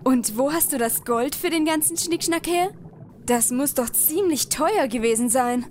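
A woman's voice: high-pitched, lively intonation, unhurried, noisy background. A woman playing a snippy, childlike female adventurer in a fantasy comedy audio drama.

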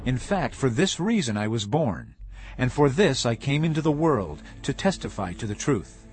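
An actor playing a solemn, resolute prophet on trial in a scripture audio drama, earnest and measured. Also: male voice, mid-range voice, sing-song, darkish tone, lightly acted. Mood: solemn conviction. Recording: music behind the voice.

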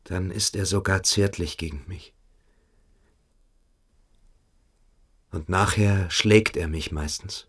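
An actor playing a submissive, evasive boarding-school pupil in a German radio play, hesitant and coquettish. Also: male voice, low-pitched, unhurried, a bit bright, slightly rough, exaggerated voice. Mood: coy, confiding.

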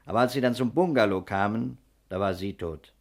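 Low-pitched, commanding detective. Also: male voice. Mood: accusing, relentless. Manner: brisk, authoritative, methodical. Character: shrewd, commanding male private investigator, methodically accusing. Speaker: actor in a radio crime serial. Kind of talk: 1960s German radio crime drama acting.

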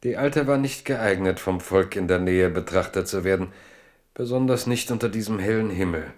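A man narrating a historical radio drama in a measured storytelling manner. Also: sits low. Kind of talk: scripted literary narration.

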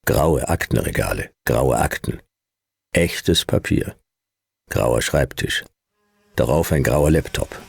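An actor playing a laconic, world-weary private detective in a radio play, narrating and talking in a dry, clipped, deadpan way. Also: male voice, very deep voice, takes it slowly, creaky, long pauses, husky, no echo, heavily acted. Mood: dry narration.

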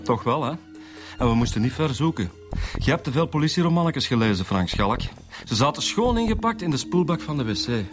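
An actor playing a cocky, sardonic police detective in a radio crime drama, with a Flemish accent. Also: male voice, mid-range voice, big pitch swings, fairly quick, lightly acted. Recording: dramatic music behind the voice.

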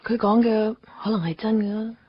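A woman playing an insecure young girlfriend, speaking softly and pleadingly in a radio drama. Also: on the high side, level tone, normal speed, husky. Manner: soft and pleading. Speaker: the hero's girlfriend. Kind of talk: Cantonese radio drama acting. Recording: dull lo-fi radio recording.

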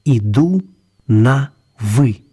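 A man's voice: mid-range voice, animated, very slow, dark tone, husky. A man narrating a children's radio story in a scripted storytelling style.

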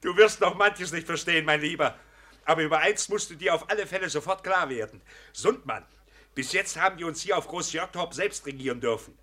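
An actor playing an amused, commanding gentleman who owns an ironworks, in a radio play. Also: on the high side, a little fast. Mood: amused, excited.

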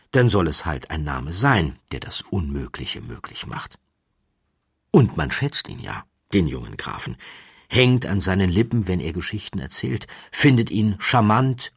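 A man narrating a literary story from a script, in a wry, measured, mannered way with deliberate pauses. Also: sits low, breathy edge, no echo, clean studio sound. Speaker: audiobook narrator.

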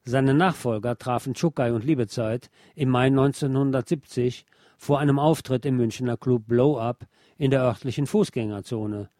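A man reading a scripted music feature as a radio presenter, in a measured, articulate, literary manner. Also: mid-range voice, dark tone, no room sound, studio quality. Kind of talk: scripted narration.

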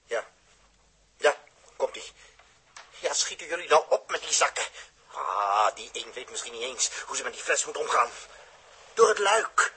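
A bright, forceful male voice at middle pitch, playing a tense and distrustful spaceship crewman. The delivery is urgent and impatient, in the style of a 1960s radio drama. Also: huge pitch swings, a little slow, pauses often, heavily acted. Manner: urgent, exclamatory.

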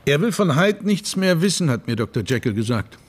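An actor playing a genial, worldly older London lawyer with an urbane, measured, wry manner in a radio play. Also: male voice, on the high side, sing-song. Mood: troubled, pondering. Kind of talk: German radio play dialogue.

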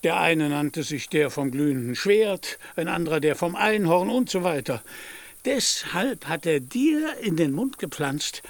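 A man's voice: on the high side, expressive, a little slow, no room sound. A man in a German radio play, playing a deluded, proud knight-errant with grandiloquent, archaic, declamatory speech. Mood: dignified.

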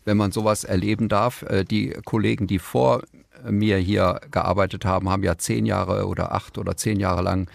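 A male foreign correspondent answers hesitantly, with many fillers. His voice sits very low and is heavily gravelly. It is recorded dry and close. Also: steady tone, moderate pace, dry recording.

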